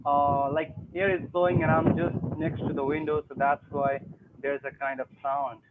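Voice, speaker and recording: A man's voice: on the high side, little pitch movement, normal speed, very noisy. A young man who is learning English, talking hesitantly with many fillers on an online tutoring call. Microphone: video call. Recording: tinny phone-like call audio.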